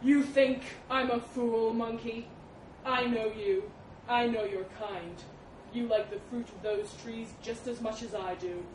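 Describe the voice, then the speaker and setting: A woman's voice: a little high, fairly even intonation, measured pace, close to an everyday voice. A woman voicing a huge, proud male elephant in an animal fable, cold, haughty and threatening. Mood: cold, menacing. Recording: roomy, distant mic with background noise.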